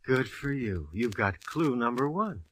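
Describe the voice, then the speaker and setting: An actor playing a teasing, sardonic police homicide lieutenant, wry and casual, in 1940s radio detective acting on an old recording. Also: male voice, mid-range voice, sing-song, measured pace, a bit bright. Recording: noisy, crackly vintage radio recording.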